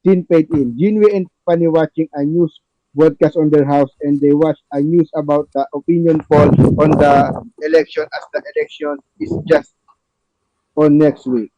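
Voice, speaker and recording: Casting: male stage-direction reader, very soft dark tone, scripted delivery, muffled dry recording. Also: a little high, steady tone, moderate pace, no room sound. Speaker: narrator reading stage directions. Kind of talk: scripted narration in a table read.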